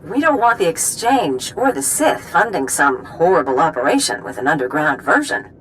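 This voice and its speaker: A blunt, driven doctor. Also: female voice, deep voice, sing-song, a bit bright, a little hiss or hum, low-quality recording. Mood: businesslike. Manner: brisk, blunt, businesslike.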